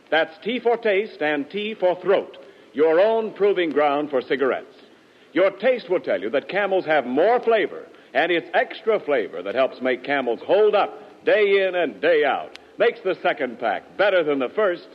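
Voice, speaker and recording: Animated 1940s male radio announcer. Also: fairly high pitch. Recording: muffled 1940s radio broadcast.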